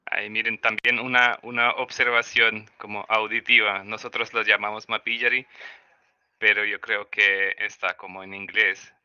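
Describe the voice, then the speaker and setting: A man's voice: on the low side, flat delivery, normal speed, sharp tone, clean tone. A man whose first language is not Spanish, joking and self-deprecating as a participant in a casual workshop on a video call. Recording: dry, slightly dull audio.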